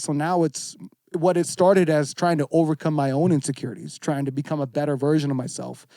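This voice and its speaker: A man in his thirties speaks as a guest on a casual fitness podcast. His voice sits a little high and is recorded dry, with little room sound. He is earnest and reflective, and leans on casual slang. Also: mellow, no room sound. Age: 30s. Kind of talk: candid casual podcast conversation.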